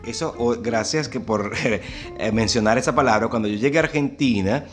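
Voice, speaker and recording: A man's voice: mid-range voice, moderate pace, faint music behind. Chatty Venezuelan man. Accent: Venezuelan Spanish. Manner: chatty, laughs often, storytelling. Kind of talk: casual chat.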